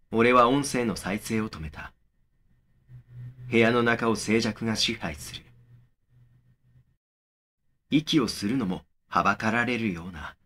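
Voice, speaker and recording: A man playing a young man who narrates his eerie experience in a horror audio drama, measured and serious. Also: on the low side, pushed and bright, exaggerated voice. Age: young adult. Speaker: first-person narrator of a horror story.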